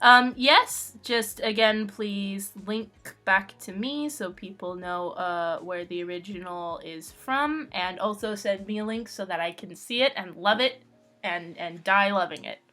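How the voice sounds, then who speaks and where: A woman's voice: medium pitch, a little slow, sharp tone. A woman chatting casually as a channel host, giggly, rambling and self-deprecating. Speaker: webcomic artist and channel host. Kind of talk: casual chat with sister, answering questions. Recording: music under the voice. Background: background music.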